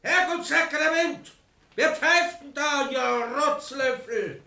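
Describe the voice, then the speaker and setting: A furious scold in a radio drama. His extremely high, gravelly shout comes from a distance in an echoey room with a crowd. He is coarse and irate. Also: takes it slowly, full character voice. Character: irate, scolding male party member shouting down troublemakers. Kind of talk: radio play acting.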